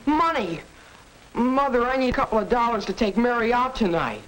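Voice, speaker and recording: An actor playing a brash, grumbling American teenage son in a 1940s educational film, blunt and clipped. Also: male voice, very high voice, big pitch swings, normal speed, fairly bright tone, exaggerated voice. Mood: indignant. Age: young adult. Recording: noisy, crackly 1940s film soundtrack.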